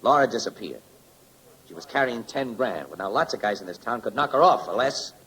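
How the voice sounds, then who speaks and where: An actor playing a stubborn, loyal American newspaper crime photographer with brisk, slangy talk, in 1940s radio drama style. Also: male voice, medium pitch, expressive, a bit bright, natural voice, low-quality recording. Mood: argumentative. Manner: brisk, slangy, plain-spoken.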